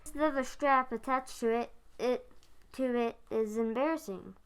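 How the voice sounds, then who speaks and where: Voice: mid-range voice, a little slow, a bit bright, clear, resonant tone. A child of about ten acting as a proud but discouraged young husband in a school radio play. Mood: frustrated. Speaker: fourth grade student actor.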